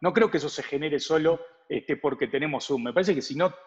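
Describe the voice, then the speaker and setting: A man's voice: a little high, a little fast. Man in dull, heavily reverberant audio. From Uruguay. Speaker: advertising agency partner, interview guest. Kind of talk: conversational interview answers.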